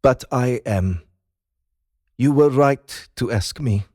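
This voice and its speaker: A man playing a cold, formal, menacing Russian president with a Russian accent, speaking deliberately in a dramatized audiobook. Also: medium pitch, a little mellow, no room sound, clean studio sound. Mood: calm menace.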